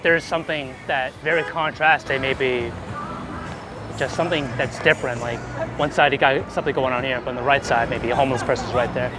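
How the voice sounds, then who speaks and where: A man's voice: mid-range voice, fairly even intonation, brisk. A man being interviewed as a guest, chatting casually and informally on a busy city street. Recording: noisy outdoor city street with traffic.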